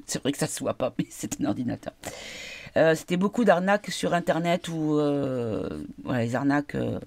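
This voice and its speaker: A woman giving a casual card reading, tired and congested from a cold, halting as she searches for her words. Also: deep voice, lively intonation, vocal fry, a little mellow. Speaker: card reader.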